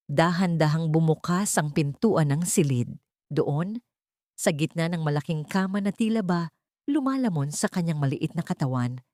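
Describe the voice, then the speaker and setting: A woman's voice: sits low, a little mellow, no echo, studio quality. A woman with a Filipino accent reading a dramatic story as an audiobook narrator.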